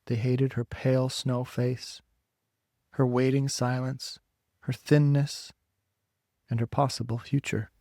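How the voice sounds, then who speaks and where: Slow, dry-sounding male audiobook narrator. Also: medium pitch, flat delivery, creaky, dark tone, dry recording. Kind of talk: dramatic literary story narration.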